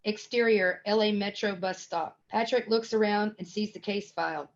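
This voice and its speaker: A woman with a mid-pitched voice narrates a screenplay's stage directions. She reads headings and action lines as a script narrator. The recording is dull and lo-fi. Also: normal speed.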